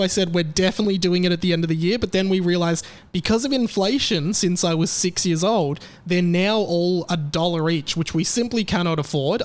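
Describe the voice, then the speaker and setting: Chatty, excitable man hosting. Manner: chatty and excitable, with animated pitch jumps. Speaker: podcast host. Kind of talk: casual chat.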